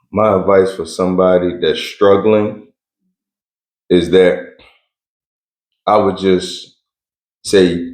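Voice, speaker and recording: A man's voice: low voice, a little mellow, echoey room. A young man answering interview questions in a laid-back, blunt way with slang and frequent laughs. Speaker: interview guest.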